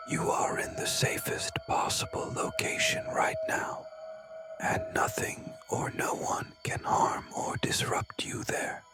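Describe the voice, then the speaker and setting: A man narrating scripted horror warnings in a breathy whisper, deliberate and ominous. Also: extremely high pitch. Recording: loud music over the voice. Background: loud music.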